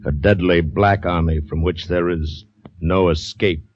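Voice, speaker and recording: A man's voice: low voice, steady tone, a little slow, very noisy. A man narrating a trailer in an ominous, dramatic 1940s radio style. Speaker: radio announcer. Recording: muffled vintage radio recording. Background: dramatic music.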